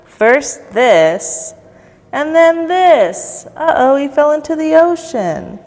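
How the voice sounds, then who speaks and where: A woman's voice: high-pitched, very animated, slow, a little mellow. A woman reading a children's story aloud at storytime, expressively, with playful asides to young listeners. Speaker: volunteer storybook reader. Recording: long echoey reverb, faint music behind.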